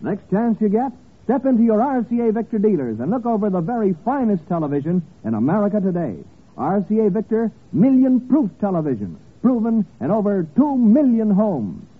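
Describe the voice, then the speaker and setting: A man reading a formal, polished sales pitch as a commercial announcer on mid-century radio. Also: very animated, fairly quick, breathy edge, phone-quality sound. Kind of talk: 1940s-50s radio advertisement read. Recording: thin vintage radio recording.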